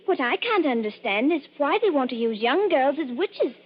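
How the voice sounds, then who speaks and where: Young first-night witch actress: high, nervy, eager gossip, vintage lo-fi radio. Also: big pitch swings, normal speed. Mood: gossipy. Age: young adult. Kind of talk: 1940s radio acting. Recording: dull old radio recording.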